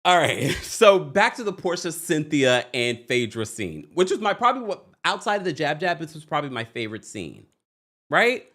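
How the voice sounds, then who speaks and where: A man's voice: fairly high pitch, big pitch swings, normal speed, a bit bright. A man hosting a reality TV recap livestream, talking casually in a playful, teasing, exclamatory way and repeating phrases for emphasis. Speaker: host of a reality TV recap show. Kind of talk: casual gossipy livestream commentary.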